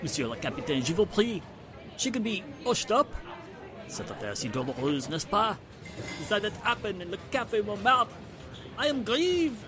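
A high, animated male voice with a French accent: an anxious, apologetic café manager in a radio drama. He is formal and deferential, dropping French phrases as he goes. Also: normal speed, music in the background. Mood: distressed, formal. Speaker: restaurant manager character.

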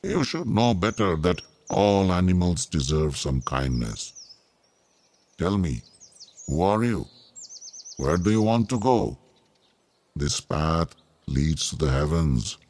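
Giant sleepy monkey god for a myth tale; deep, unhurried drawl, humble and sly. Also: male voice, expressive, darkish tone, slight background noise. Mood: calm, chiding. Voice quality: deep, drowsy. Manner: slow, drawling, mock-meek. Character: huge old monkey god, feigning sleepy, frail humility.

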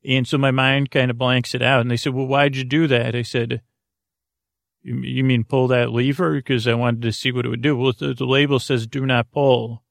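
A man hosting a sleep podcast, speaking in a halting, meandering, self-deprecating bedtime ramble with a gravelly, creaky voice. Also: mid-range voice, flat delivery, no room sound, clean studio sound. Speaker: podcast host. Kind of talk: rambling bedtime monologue to help listeners sleep.

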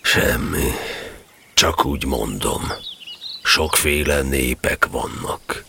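A man playing a gloomy, sarcastic, self-pitying donkey in an audio drama, with a gravelly, slow, mournful drawl. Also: very deep voice, bright, forceful tone, slight background noise, heavily acted. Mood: mournful, resigned.

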